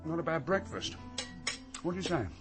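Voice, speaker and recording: A man plays a young man on the run in a radio drama. His voice sits a little high and he talks in brisk, commanding bursts. Faint music runs beneath him. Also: lively intonation, close to an everyday voice. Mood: casual.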